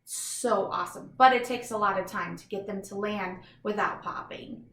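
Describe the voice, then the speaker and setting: A woman's voice: mid-range voice, normal speed, a bit bright, echoey room. A woman reads a children's book aloud like a teacher, enthusiastic and instructive, with chatty explanatory asides to young listeners.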